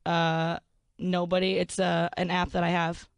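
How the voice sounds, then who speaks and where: A woman in her twenties with a low voice, hosting a casual podcast chat, self-deprecating and laughing often as she talks. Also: monotone, unhurried, dry recording, studio quality. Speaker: podcast host.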